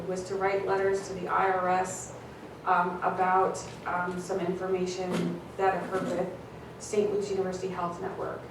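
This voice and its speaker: A woman council member speaking hesitantly at a township meeting, full of fillers and restarts. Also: medium pitch. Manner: hesitant, filler-heavy, measured. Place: council chamber. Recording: echoey distant room mic with hiss.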